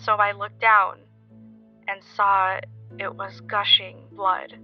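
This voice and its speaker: A woman playing a shaken young woman recounting a frightening experience, halting and earnest, in an audio drama. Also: medium pitch, level tone. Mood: shaken, defensive. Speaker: caller telling a story. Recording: dull lo-fi recording, music underneath.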